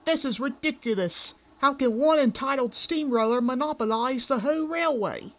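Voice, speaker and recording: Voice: high-pitched, moderate pace, a bit bright. An actor playing a feisty, indignant little railway engine in a fan comic dub.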